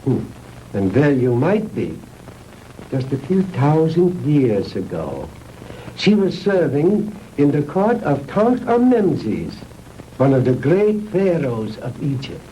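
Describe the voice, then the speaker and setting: Casting: a condescending German mad scientist for vintage sci-fi film, grandly polite, wide swoops, noisy soundtrack. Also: male voice, on the high side, big pitch swings, takes it slowly, warm tone. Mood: smug. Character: mad Nazi scientist, proud, theatrically courteous, fanatical. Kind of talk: 1960s B-movie acting.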